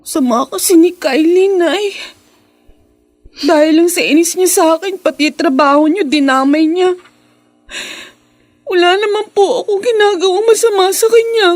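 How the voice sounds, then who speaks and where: A woman with a Filipino accent playing an earnest, polite high-school girl in a radio drama. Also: normal speed, pauses often, a little mellow, no echo. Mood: hurt, worried.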